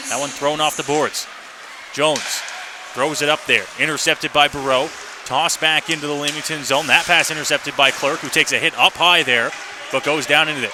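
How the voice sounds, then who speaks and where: A man giving live radio play-by-play commentary of a hockey game. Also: a little high. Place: commentary position in an indoor hockey arena. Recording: noisy arena ambience behind.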